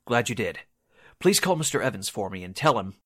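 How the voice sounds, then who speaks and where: A man acting a businesslike, anxious radio producer in a 1940s-style radio drama, polite and brisk. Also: fairly low pitch, big pitch swings, fairly bright tone, a little hiss or hum.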